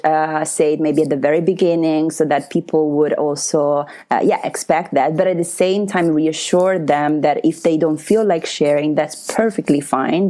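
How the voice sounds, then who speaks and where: A woman with a low, mellow voice, an Italian native speaker talking in English. She speaks in a reflective, searching way, full of "you know" and "uh" and small restarts. A soft, conversational podcast guest. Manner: hesitant, many fillers and restarts, soft laughs. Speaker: podcast guest, writing workshop facilitator.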